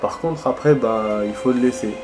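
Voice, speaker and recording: A stuffy-nosed man with a slight lilt rambles through his gameplay commentary in a chatty, meandering way, talking to viewers like friends. Game music plays clearly under his mid-pitched voice. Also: normal speed. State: congested with a head cold. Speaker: gaming video host.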